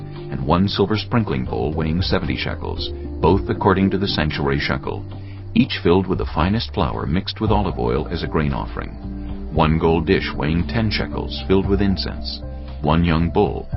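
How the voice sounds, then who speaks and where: A man narrating a dramatised scripture audiobook solemnly and deliberately, phrase by phrase. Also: extremely low pitch, gravelly, highs cut off. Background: music.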